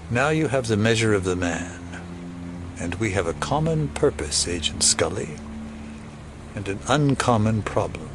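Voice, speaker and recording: An actor playing a cold, scheming older conspirator who talks in formal, condescending, wordy sentences in an audio drama. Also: male voice, lowish voice, very animated, unhurried. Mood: grave, conspiratorial. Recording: clearly noisy background ambience.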